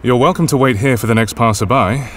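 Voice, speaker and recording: An actor playing a pragmatic, unflappable expedition physician in an audio drama, speaking in a measured, dry, formal way. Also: male voice, medium pitch, fairly bright tone, slight background noise, close to an everyday voice. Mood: firm.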